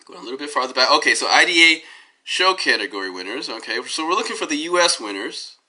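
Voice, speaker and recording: A hoarse man with a cold, rambling through a self-recorded phone commentary with lots of fillers and restarts while he clicks through web pages. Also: fairly high pitch, big pitch swings, fast talker, pushed and bright. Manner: tangential, many fillers and restarts. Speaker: DJ giving his side of a dispute. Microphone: phone held up at arm's length.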